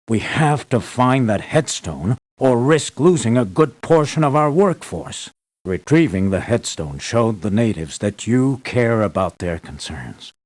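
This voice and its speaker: Earnest older man, expressive game voice. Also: lowish voice. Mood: relieved, approving. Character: anxious older man, island estate owner and devoted father. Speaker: employer briefing hired mercenaries. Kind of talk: scripted video game voice acting.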